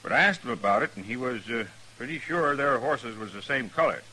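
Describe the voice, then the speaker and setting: Sharp-voiced frontier stagecoach driver. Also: male voice, medium pitch, expressive, fairly quick, rough recording. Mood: plain, matter-of-fact. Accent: rural American. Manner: rustic, direct. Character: plain-spoken, earnest frontier stagecoach driver. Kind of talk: 1950s radio western acting. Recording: faintly hissy old radio recording.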